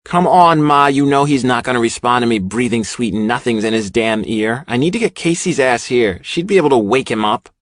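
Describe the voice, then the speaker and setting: A man's voice: mid-range voice, brisk, few pauses, no room sound, studio quality, pitched above the performer's own voice, close to an everyday voice. A man voicing a brash, angry young woman with a barking, scolding manner in an audiobook. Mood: irritated, defensive. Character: brash, angry young woman, foul-mouthed biker sister. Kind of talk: audiobook character acting.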